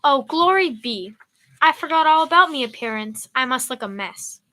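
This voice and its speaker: A woman's voice: sits high, expressive, moderate pace, bright, forceful tone. A woman playing a fussy, nosy landlady in an old-time radio comedy style, away from the microphone. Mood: flustered, indignant. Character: fussy, shrill Irish landlady, vain and nosy.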